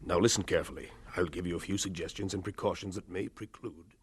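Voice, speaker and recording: An authoritative country doctor in a radio play. His voice is very low and husky, and he speaks firmly and formally, giving instructions with grave briskness. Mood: instructing.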